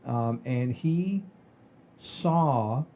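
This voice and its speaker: An older man hosting a podcast, telling stories in a folksy, rambling, animated way with a husky voice. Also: mid-range voice, drawn-out words, dull audio, slight background noise. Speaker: podcast host. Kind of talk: casual chat and storytelling.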